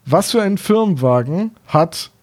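Chatty man in his thirties, slightly higher voice. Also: lively intonation, moderate pace, a little mellow. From Germany. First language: German. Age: 30s. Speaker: podcast co-host. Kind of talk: casual podcast chat.

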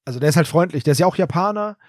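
A man's voice: on the high side, animated, very fast, a little mellow, no echo, clean studio sound. Chatty man recapping a story. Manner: rambling plot retelling, loose and chatty. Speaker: podcast co-host. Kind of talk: casual episode recap.

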